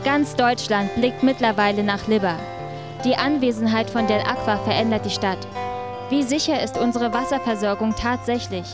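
A woman's voice: fairly high pitch, normal speed, over music, close to an everyday voice. An actress playing an inquisitive woman reporter in a radio drama documentary. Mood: earnest.

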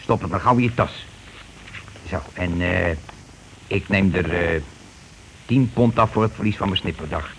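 Glib, bossy working-class schemer. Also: male voice, deep voice, moderate pace, long pauses. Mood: glib, persuasive. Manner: glib, colloquial, self-justifying. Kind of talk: Dutch radio play acting. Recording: noisy old radio play recording.

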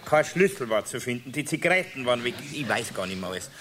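A man's voice: mid-range voice, very animated, fast, a bit bright. An actor with a Bavarian accent playing a flustered, irritable craftsman husband in a 1970s radio play, blustering and exclaiming. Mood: sociable, complaining. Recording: hissy old radio recording.

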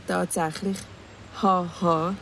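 A woman's voice: lowish voice, level tone, takes it slowly, vocal fry, a bit bright, full character voice, rough recording. An actress playing a playful young woman teacher with a teasing, ironic manner, in natural Swiss German radio drama talk with faint background noise.

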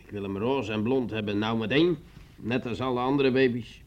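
An actor playing a drunken, bitter army sergeant in a radio play, theatrical and exclamatory, muttering tipsily. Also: male voice, medium pitch, moderate pace, lo-fi sound, close to an everyday voice. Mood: sullen, defiant.

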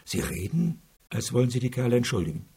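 A man playing an indignant, blunt prosecutor in a radio crime drama, husky and curt, firing off challenging questions. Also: medium pitch, very animated, quick pace, mellow, heavily acted. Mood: sceptical, challenging. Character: indignant, blunt male prosecutor demanding the death penalty.

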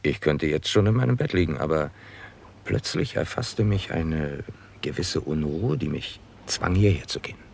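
An actor playing an unflappable, intuitive police detective in a 1960s German crime radio play, gravelly, dry and deliberate. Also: male voice, rumbling low, slight background noise. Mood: calm, confiding. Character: Mr. Nutting, unflappable, intuitive Scotland Yard detective.

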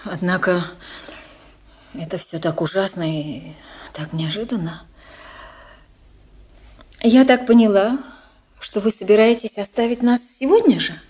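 An actress playing an anxious, dignified middle-aged princess and mother in a radio play, with halting, breathy delivery and emphatic pauses. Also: medium pitch, a little mellow, close to an everyday voice. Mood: uneasy.

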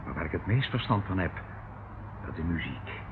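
Unctuous uncle, swooping theatrical delivery, tinny recording. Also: male voice, low-pitched, big pitch swings, moderate pace. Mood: boastful, wistful. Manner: theatrical, patronising, rambling, chuckling. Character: unctuous, evasive uncle captor, pompously cultured, falsely doting. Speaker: radio drama actor. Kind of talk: Dutch radio play acting. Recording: tinny, hissy old radio play recording.

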